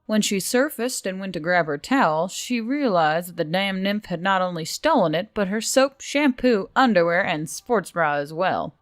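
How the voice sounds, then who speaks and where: A woman's voice: medium pitch, animated, normal speed, few pauses, no echo, clean studio sound, soft background music. A woman reading scripted fantasy fiction as an audiobook narrator.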